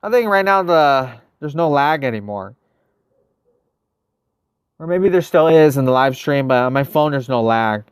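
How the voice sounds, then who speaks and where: A man's voice: medium pitch, animated, unhurried, long pauses, clean tone, no echo, studio quality. A man, chatting casually as a livestream host, thinking aloud and answering viewers. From United States. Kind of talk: casual livestream gameplay chat.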